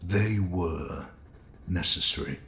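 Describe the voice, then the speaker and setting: A man plays a fanatical male scientist villain in an audio drama. His voice is deep and creaky, with a cold, deliberate menace. Faint noise sits behind the recording. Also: fairly bright tone, heavily acted. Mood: bitter, grandiose. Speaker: voice actor. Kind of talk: audio drama monologue and dialogue.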